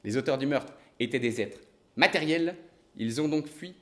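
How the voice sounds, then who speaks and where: Man voicing a methodical detective, measured, deliberate, radio play. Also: mid-range voice, natural voice. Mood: reasoning. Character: calm, reasoning male detective. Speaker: investigator.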